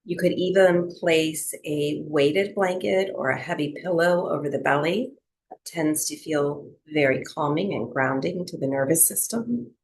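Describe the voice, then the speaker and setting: Female meditation guide: very unhurried, gravelly edge, soft soothing instruction for breathwork and rest. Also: deep voice, poor audio. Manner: soothing, gentle, unhurried instruction with long pauses. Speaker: yoga and breathwork teacher.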